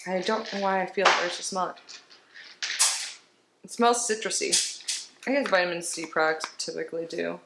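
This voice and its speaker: A woman's voice: lowish voice, normal speed, gravelly, pauses often. Chatty woman with a stuffy, congested voice. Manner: chatty, rambling, with small laughs. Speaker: beauty box reviewer. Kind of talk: casual unboxing chat. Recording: roomy with faint background noise.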